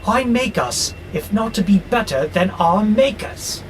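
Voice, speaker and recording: A man's voice: sits high, expressive. A man acting in an audio drama as an arrogant, frustrated warehouse robot plotting war, with a grandiose, exasperated delivery. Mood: boastful. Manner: grandiose, slow and theatrical, exasperated. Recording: clearly noisy background hum.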